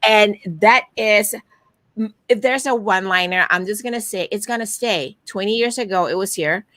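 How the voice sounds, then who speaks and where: Lively Malaysian-born woman, dry close sound. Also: mid-range voice, normal speed, no echo. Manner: animated storyteller, frequent "right?" tags. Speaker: guest, virtual event producer. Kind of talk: casual interview chat.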